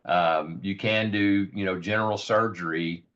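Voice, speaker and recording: A man with a raspy, hoarse voice giving an earnest informal presentation on a rural health program, with many ums and repeated words. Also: deep voice, fairly even intonation, unhurried, a bit bright. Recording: dull lo-fi audio.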